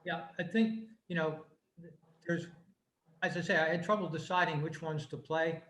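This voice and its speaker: Roomy, higher-pitched man on a call. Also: fairly even intonation, moderate pace. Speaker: club member presenting. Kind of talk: casual explanatory talk. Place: video call. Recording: roomy, slightly noisy video-call audio.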